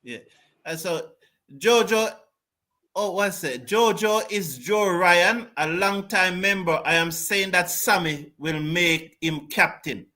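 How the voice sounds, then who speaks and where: A man's voice: sits high, takes it slowly, bright, forceful tone. A man hosting a cricket panel show, chatty and often repeating his words as he starts a sentence. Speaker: host. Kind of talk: panel discussion.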